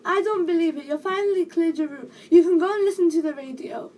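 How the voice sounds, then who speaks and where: A woman voicing a bossy, scolding mother of two boys in a shrill storytelling performance. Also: quick pace, darkish tone, distant mic. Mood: satisfied.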